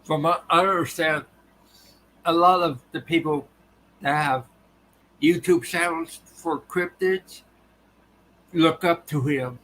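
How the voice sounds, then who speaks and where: A man hosting a casual livestream, talking haltingly with a tired, dry voice. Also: on the high side, a bit bright, a little hiss or hum, rough recording. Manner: halting, restarts often. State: voice tiring and dry. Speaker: livestream host. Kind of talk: casual live chat with viewers.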